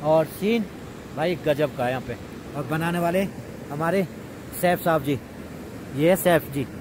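A man hosting a casual camping vlog, chatting informally to his viewers as friends. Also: a little high. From India. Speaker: vlog host. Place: outdoors at a riverside campsite. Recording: noisy outdoor recording, rushing river. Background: loud ambient river noise.

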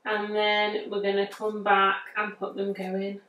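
Unhurried northern English woman, roomy sound. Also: medium pitch, completely flat delivery, a bit bright. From England. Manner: chatty, easygoing, thinking aloud. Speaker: nail artist demonstrating a design. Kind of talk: casual step-by-step tutorial talk. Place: bright indoor studio. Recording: roomy, distant mic.

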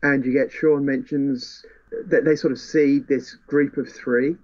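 A man's voice: mid-range voice, steady tone, a little slow, a little mellow. A man, co-hosting a podcast in a casual book discussion. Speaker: podcast co-host. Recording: dull, lo-fi sound.

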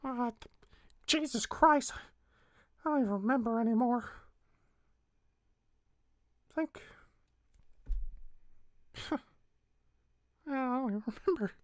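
A woman's voice: fairly high pitch, slow pace, pauses often, no echo, studio quality. A woman voicing a small, bitter, foul-mouthed talking flower, numb and angry, in a fan roleplay drama. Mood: weary, bitter. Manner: sarcastic, cursing, stammering when cornered.

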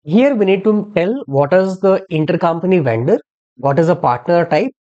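A software instructor narrating a step-by-step tutorial in an explanatory way, posing questions and then answering them. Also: medium pitch, lively intonation, moderate pace, muffled recording. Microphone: screen-recording voice-over.

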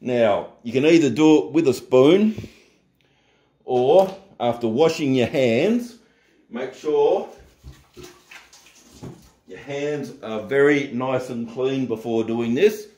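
A man's voice: medium pitch, slow pace, pauses often, reverberant. A middle-aged man hosting a casual home cooking tutorial in his kitchen, chatty and joking at himself. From Australia. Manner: relaxed, chatty, jokes at himself.

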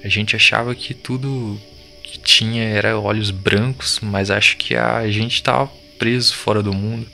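An actor playing a shaken, defiant village boy in an audio drama, speaking in urgent, halting slang. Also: lowish voice, steady tone, gravelly, sharp tone, soft background music, heavily acted. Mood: awed. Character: shaken, defiant village boy urging his friends to flee.

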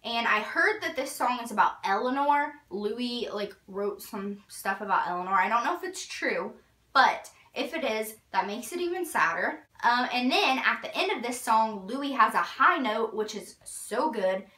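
A teenage girl talks through an album in an eager, gushing way, with "like" in nearly every sentence. Her voice is bright and forward. She sits a little back from the camera in her bedroom, so the sound is roomy. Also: on the high side, lively intonation, normal speed. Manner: gushing, filler-heavy with frequent "like". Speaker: vlogger. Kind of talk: casual album review. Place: bedroom, indoors. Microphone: fixed camera a few feet away. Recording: roomy, slightly distant.

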